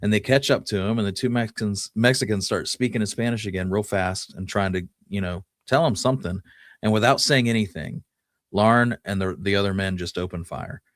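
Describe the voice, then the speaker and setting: A man's voice: fairly low pitch, fairly quick, no room sound, clean studio sound. A man hosting a history show, telling Wild West stories in a casual, folksy, conversational way. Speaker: podcast host telling history.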